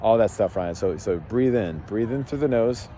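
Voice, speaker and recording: Low, mellow man telling a story. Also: expressive, moderate pace, slight background noise. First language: English. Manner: rambling, many fillers and restarts. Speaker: podcast host and life coach. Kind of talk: casual storytelling monologue.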